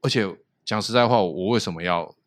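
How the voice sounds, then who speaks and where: Talkative Taiwanese man with a low voice. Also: a little fast. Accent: Taiwanese Mandarin. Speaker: podcast host. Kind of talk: casual explanatory chat.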